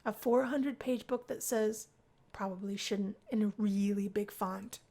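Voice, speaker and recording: A woman's voice: medium pitch, steady tone, unhurried, creaky, warm tone. Woman voicing a teasing, laid-back college guy. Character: Max, joking laid-back young male college roommate, teasing. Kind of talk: visual novel character voice.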